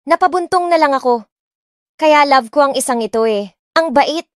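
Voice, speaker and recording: A woman's voice: sits high, lively intonation, moderate pace, dry recording, clean studio sound. A woman narrating a romance story in the first person, reading expressively with light dramatic touches. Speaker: audiobook narrator.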